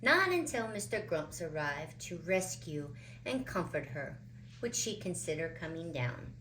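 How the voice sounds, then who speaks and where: Lady reading a kids book out loud, slow n dramatic, voice goes up and down a lot, bit of noise behind. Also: on the low side, very animated, rough recording. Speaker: children's storybook reader. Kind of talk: expressive picture-book read-aloud.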